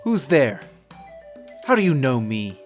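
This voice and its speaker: An actor playing a proud, ambitious merfolk shaper in a fantasy audio drama, declaiming theatrically. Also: male voice, mid-range voice, very animated, takes it slowly, distant mic. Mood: alarmed. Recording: echoey, off-mic, music bed behind.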